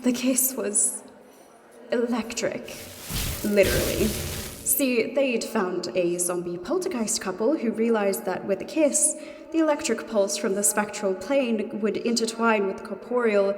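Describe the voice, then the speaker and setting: A woman's voice: mid-range voice, measured pace, a little mellow, a little hiss or hum. A woman playing a chatty, wry two-hundred-year-old ghost in love, in a comedy audio drama, with a ghostly reverb on her voice. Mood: dreamy. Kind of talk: mock dating testimonial in comedy audio drama. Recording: long echoing reverb on the voice.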